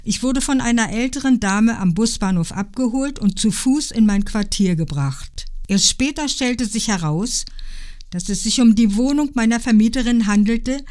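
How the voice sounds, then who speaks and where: An older woman from Berlin, reading aloud from her own written story in a calm, reflective way. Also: on the high side, normal speed. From Berlin, Germany. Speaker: guest reading her memoir.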